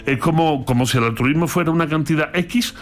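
A man from Andalusia with an Andalusian accent, explaining philosophy in a lively talk with playful, theatrical asides. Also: a little high, a little fast. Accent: Andalusian Spanish. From Andalusia, Spain. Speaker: philosophy commentator.

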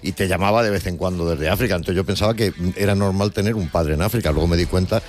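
A man co-hosting a radio talk show, exclamatory and quick to laugh as he tells his anecdote. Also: deep voice. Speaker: radio co-host.

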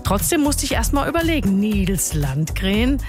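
A woman radio presenter chatting lively on a live show, handing over to a colleague. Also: mid-range voice, moderate pace. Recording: radio broadcast with music behind.

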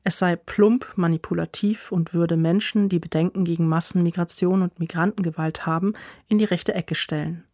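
A woman's voice: fairly low pitch, steady tone, normal speed, darkish tone, dry recording, studio quality. Woman narrating a news report.

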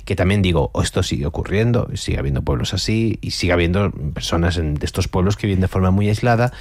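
A man's voice: deep voice, fast, darkish tone. A young man from Almería with a mostly neutral Spanish accent, a guest author reflecting aloud in a radio interview with many restarts and "¿no?" tags. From Spain. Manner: reflective, with frequent restarts and tag questions.

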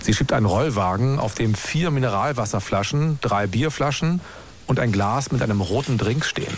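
A man narrating a documentary podcast in a calm, descriptive, scripted style. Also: medium pitch, moderate pace, slight background noise.

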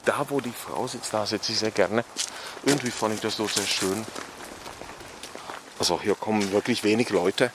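A man's voice: lowish voice, normal speed, long pauses, noisy background. Reflective man strolling a cemetery. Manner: reflective, many fillers like "sozusagen" and "in der Tat". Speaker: author. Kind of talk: walking conversation. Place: outdoor cemetery.

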